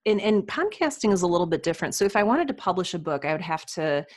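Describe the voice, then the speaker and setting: A woman's voice: on the low side, big pitch swings, quick pace, no room sound, clean studio sound. A woman speaking as a podcast guest in casual interview conversation, reflective and earnest.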